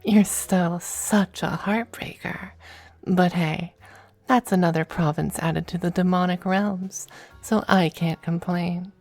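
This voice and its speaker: A woman voicing a suave, possessive demon femboy in an ASMR roleplay, with genteel, teasing endearments and a breathy voice that dips into whispers. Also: fairly low pitch, steady tone, slow, a little mellow, faint music behind. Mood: languid, teasing. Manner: genteel, languid, commanding endearments.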